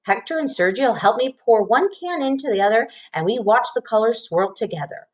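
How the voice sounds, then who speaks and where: A woman reading a children's storybook aloud in an expressive narrating style. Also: on the high side, normal speed. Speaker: storybook reader.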